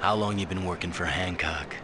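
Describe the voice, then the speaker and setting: Man playing a low-voiced, terse undercover cop. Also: brisk, background noise, natural voice. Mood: terse, cool. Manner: laconic, cool. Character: terse, tough undercover male police detective. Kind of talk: video game cutscene acting.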